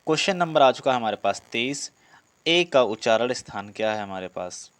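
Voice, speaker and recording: A man's voice: mid-range voice, animated, moderate pace, fairly bright tone, dry recording. A man teaching an exam-prep class, reading out questions and explaining answers in an instructional, repetitive way, addressing students directly. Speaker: Hindi grammar teacher for police exam students.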